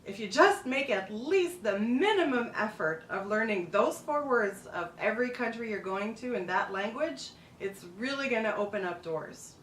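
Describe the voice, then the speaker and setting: A middle-aged woman chats about her life to camera, easygoing and full of asides. Her voice sits at an ordinary pitch. The room rings around her, the mic is set back, and a faint rumble sits underneath. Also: animated, moderate pace, hardly any pauses, fairly bright tone, reverberant, recorded from a distance. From Montreal, Quebec, Canada. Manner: chatty, loose, with restarts and asides. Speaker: food and travel blogger. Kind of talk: casual vlog monologue.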